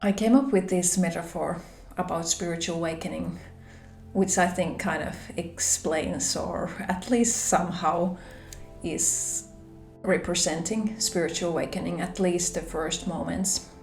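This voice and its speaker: A woman with a Finnish accent, speaking English as a second language, shares gentle reflections on a spiritual journey in a soft, pausing manner. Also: lowish voice, fairly even intonation, gravelly. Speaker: spiritual vlogger. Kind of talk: gentle reflective monologue to camera. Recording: roomy echo with soft background music.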